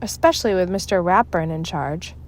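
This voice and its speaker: A good-natured, dryly assured schoolboy from a storybook, voiced by a woman reader. His lines have a varied, expressive lilt. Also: lowish voice, a little fast, a little hiss or hum, close to an everyday voice.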